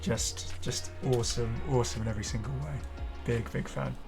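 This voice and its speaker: A man hosting a casual game showcase, talking in an enthusiastic, rambling way with halting explanations. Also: medium pitch, steady tone. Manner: enthusiastic, effusive. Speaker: gaming video creator and host. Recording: music playing behind the voice. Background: clear background music.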